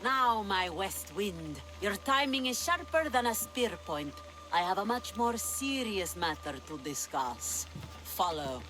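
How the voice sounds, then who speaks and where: A woman's voice: mid-range voice, sing-song, a little slow, over music, poor audio. A woman playing a sly, commanding pirate leader in a video game, with theatrical delivery. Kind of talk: video game cutscene acting.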